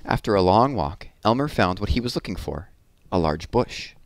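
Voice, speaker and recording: A man in his thirties reading a children's story aloud expressively, with deliberate pauses and drawn-out words, on a headset mic. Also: on the low side, lively intonation, dry recording. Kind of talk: children's read-aloud narration. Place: small room at home, indoors.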